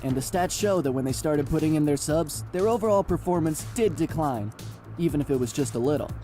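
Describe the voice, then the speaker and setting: A man playing an analytical young mech pilot in a video game, measured and explanatory, with music behind. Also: a little high, animated, warm tone, natural voice. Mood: explaining. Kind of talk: anime-style game voice acting. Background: game background music.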